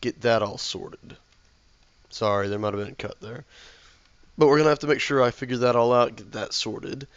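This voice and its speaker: A man with a sore throat and a slightly hoarse voice, casually commentating his game while he builds, mumbling and thinking aloud to himself. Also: medium pitch, moderate pace, no room sound, lo-fi sound. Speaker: let's play gamer.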